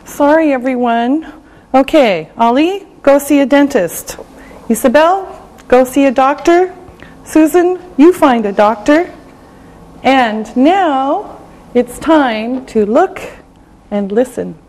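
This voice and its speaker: A high-voiced woman teaches a beginner English lesson. She speaks very slowly and carefully, repeats each phrase, and checks understanding with a frequent "okay?". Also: animated, darkish tone, low-quality recording. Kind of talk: slow, deliberate classroom teaching.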